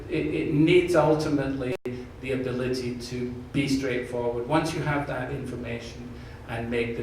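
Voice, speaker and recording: A man's voice: mid-range voice, a little mellow. A man with a slight Scottish accent answering questions at an open forum, earnest and jokey, often repeating words as he restarts. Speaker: university president candidate. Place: large echoey room from far off. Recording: echoey room, distant mic, background noise.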